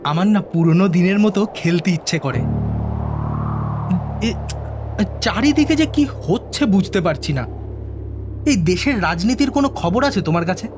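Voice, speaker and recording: High-pitched, distraught young scientist. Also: male voice, big pitch swings, normal speed, pauses often, over music. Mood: nervous rambling. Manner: agitated, high-strung, rambling. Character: distraught young male scientist, nervous, rambling, haunted. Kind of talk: audio drama acting.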